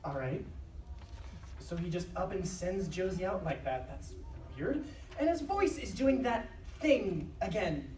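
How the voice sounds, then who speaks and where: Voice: mid-range voice, expressive, measured pace, darkish tone, close to an everyday voice. An actor playing an anxious storyteller who confides in listeners, informal and personal, in a live audio drama. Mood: uneasy. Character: anxious, confiding storyteller sharing found diary notes. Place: convention live show stage. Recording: echoey live stage, distant mic.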